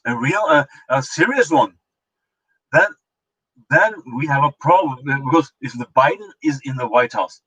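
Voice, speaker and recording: A man from Punjab with a Punjabi Indian accent, hosting a political commentary show and speaking haltingly with many fillers and restarts. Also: mid-range voice, animated, sharp tone, slightly rough, poor audio. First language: Norwegian. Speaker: host.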